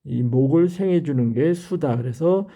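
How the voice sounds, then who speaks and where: Older man lecturing in a very mellow voice. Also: a little high, moderate pace. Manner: didactic, repeats key terms for emphasis. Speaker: fortune-telling teacher. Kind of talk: explanatory lecture at a board.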